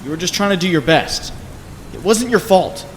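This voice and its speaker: A man's voice: high voice, expressive, normal speed, a little hiss or hum. Man as a brooding inner voice, long reverb. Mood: anguished. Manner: sardonic, intense, theatrical. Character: brooding, guilt-ridden young male inner voice, sardonic. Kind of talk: dramatic second-person narration.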